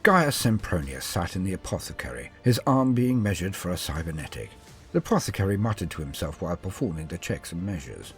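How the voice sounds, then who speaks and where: A man's voice: deep voice, big pitch swings, a little fast, fairly bright tone, soft background music. A man narrating a scripted story as a host, in a theatrical, dramatic way. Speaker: narrator and host of a lore channel.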